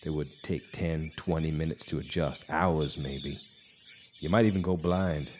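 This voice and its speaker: A man's voice: extremely low pitch, a little slow. An actor playing a bitter, haunted, hard-drinking guitarist, telling his tale in a brooding, theatrical noir radio drama style. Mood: grim, haunted. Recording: live stage recording with background noise.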